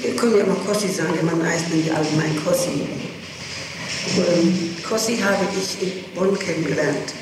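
A middle-aged woman from western Germany answering questions as a defendant in court, in halting, clipped phrases, on an old courtroom tape. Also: lowish voice. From Germany, Rhineland-Ruhr. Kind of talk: courtroom testimony. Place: large reverberant courtroom. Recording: very echoey, distant, noisy archival courtroom tape.

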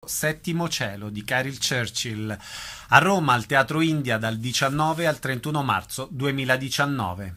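A man's voice: mid-range voice, lively intonation, normal speed, bright, forceful tone. A man hosting a radio interview, asking guests questions and giving announcements.

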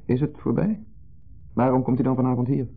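An actor playing an insecure, jealous husband in a 1970s radio play, hesitant, fretful and full of questions. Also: male voice, mid-range voice, flat delivery, fairly quick, natural voice. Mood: bitter, needling. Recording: muffled narrow-band 1970s radio recording.

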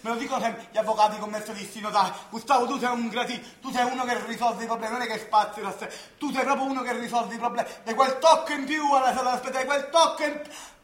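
An actor playing an anxious, excitable young Neapolitan worker in a radio drama, rambling and repeating himself as he counts money. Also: extremely high pitch, fast talker, roomy sound. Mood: rueful, boastful. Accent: Neapolitan, southern Italian. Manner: rambling, agitated, repetitive. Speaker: stage actor. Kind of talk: theatrical radio drama monologue and dialogue.

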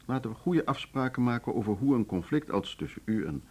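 An actor in a Dutch radio play, playing a formal, courteous older board chairman who speaks in a measured, diplomatic way. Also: mid-range voice, very animated, darkish tone, slightly rough.

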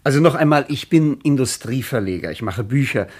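An actor playing an eager, polite and wordy West German publisher in a radio play. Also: male voice, medium pitch, expressive, brisk, a little mellow, breathy edge. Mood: politely insistent.

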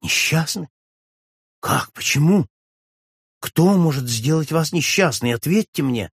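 A man voicing a suave gentleman friend who pleads tenderly with a touch of ironic melancholy, read for an audiobook. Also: medium pitch, expressive, moderate pace, dry recording, clean studio sound, close to an everyday voice. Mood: urgent. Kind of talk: audiobook reading of a classic novel.

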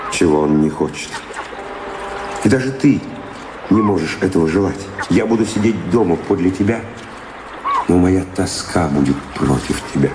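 An actor playing a restless, passionate wanderer in a radio play, tormented by love and longing, with gravelly, poetic, declamatory delivery. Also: male voice, low-pitched, background noise. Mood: anguished, pleading. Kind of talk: theatrical radio drama acting. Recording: roomy echo, music underneath. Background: music.

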